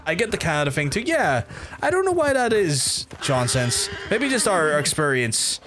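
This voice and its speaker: A man with a softened Belfast accent, chatting casually and rambling as he streams a game, swearing freely. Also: a little high, sing-song, moderate pace, slight background noise. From Belfast, Northern Ireland. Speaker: live streamer. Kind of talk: casual gameplay commentary and chat with viewers.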